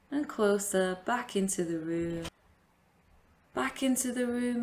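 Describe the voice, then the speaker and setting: A woman leading a guided meditation as a yoga teacher, giving gentle, invitational instructions with long pauses. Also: medium pitch, vocal fry.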